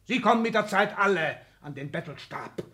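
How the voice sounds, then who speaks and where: An ailing but tyrannical old tycoon in a 1960s radio play. His voice sits on the high side, and he declaims in clipped, imperious phrases. Sudden outbursts break through the stern lecturing. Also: expressive, moderate pace, bright, forceful tone. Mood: angry outburst. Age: older. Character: ailing, domineering old industrialist patriarch lecturing his children.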